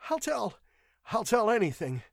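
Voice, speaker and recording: Shrill, terrified, whimpering male clerk. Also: sing-song, normal speed, no room sound, studio quality. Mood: begging. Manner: pleading, sobbing, stammering with nerves. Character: whimpering terrified clerk, a weak cowardly man.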